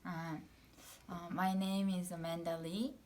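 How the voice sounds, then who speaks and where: A woman's voice: on the low side, level tone, a little mellow. Hesitant Korean-accented young woman. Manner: hesitant, halting, frequent fillers and restarts. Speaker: interviewee. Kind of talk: casual interview answers.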